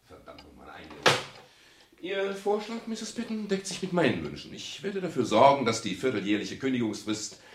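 An actor playing a private detective in a radio crime drama, curt one moment and soft and intimate the next, with a husky, gravelly voice. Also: male voice, mid-range voice, big pitch swings, moderate pace. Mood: irritated, indignant. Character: brusque, irritable male private detective, tender with his lover. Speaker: actor and first-person narrator. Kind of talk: 1970s German radio crime drama acting.

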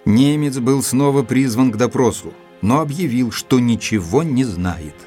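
Composed gentleman narrator over music played by man. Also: mid-range voice, expressive, measured pace, lightly acted. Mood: reflective. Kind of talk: scripted dramatic narration.

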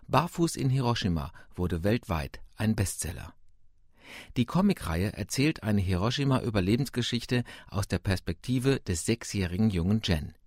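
A man's voice: lowish voice, dry recording, studio quality. A man reading a scripted radio introduction as an announcer.

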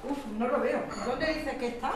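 A woman's voice: high-pitched, steady tone, normal speed, lightly acted. A woman acting an earnest, apologetic lawyer in an audio drama. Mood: searching. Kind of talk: audio fiction podcast acting.

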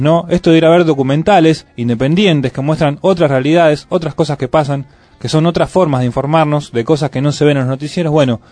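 Chatty Argentine radio host, dry studio. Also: male voice, fairly high pitch, darkish tone, no room sound. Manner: rambling, anecdotal, with many fillers. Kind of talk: casual radio chat.

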